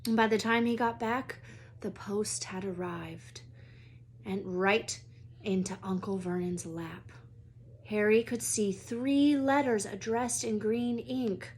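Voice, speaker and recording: A woman reading a children's book aloud as a storyteller, dramatic and expressive, sometimes hushed for suspense. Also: medium pitch, takes it slowly, slight background noise. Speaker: read-aloud storyteller, teacher.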